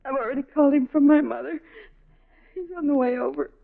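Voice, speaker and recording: A distraught wife speaks in a high, strained voice. It is 1950s radio drama acting, caught on a tinny old recording. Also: female voice, fairly even intonation, a little fast, gravelly. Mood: weary, bitter.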